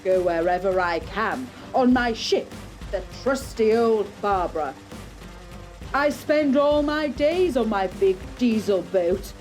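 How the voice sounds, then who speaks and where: A woman's voice: medium pitch, a little mellow, pitched below the performer's own voice, lightly acted. A woman voicing a boastful, jaunty male pirate in a puppet show, swaggering through rhyming verse. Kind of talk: puppet show character acting.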